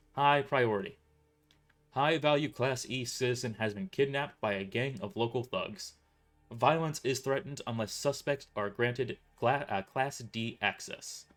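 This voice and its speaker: A man's voice: medium pitch, fairly bright tone. A man narrating his own story in the first person as a scripted audio drama. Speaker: author and narrator.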